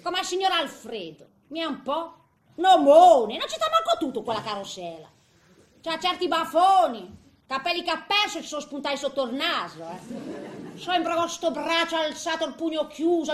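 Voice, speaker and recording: A woman's voice: high-pitched, big pitch swings, normal speed, echoey room. An actress playing a feisty, grumbling woman of eighty in a theatrical comic monologue, with a Tuscan accent and muttered, exclamatory asides. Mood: mocking, grumbling. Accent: Tuscan Italian. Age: older. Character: feisty, grumbling eighty-year-old woman in a nursing home.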